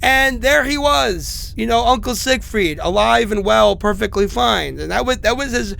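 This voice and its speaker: An actor playing an isolated, unwell man leaving halting, confiding, informal messages in a horror audio drama. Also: very high voice, animated, creaky, sharp tone, exaggerated voice. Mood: intrigued rambling. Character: isolated, sick, rambling man enduring supernatural peril.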